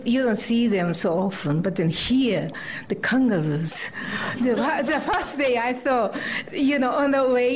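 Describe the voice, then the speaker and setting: A woman from Japan, a poet speaking English with a Japanese accent and Japanese as her first language. She talks haltingly with many fillers and laughs, then reads her poems aloud at a live festival reading. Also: fairly high pitch. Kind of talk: live poetry reading with chatty introduction. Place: festival stage before an audience.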